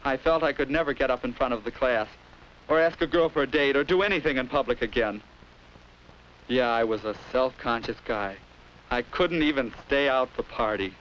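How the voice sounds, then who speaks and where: A man's voice: medium pitch, moderate pace, a bit bright, close to an everyday voice, poor audio. A young American man playing a self-conscious schoolboy, narrating earnestly and confidingly in a 1950s educational film, on a hissy old soundtrack. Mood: rueful. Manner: earnest, confiding first-person storytelling.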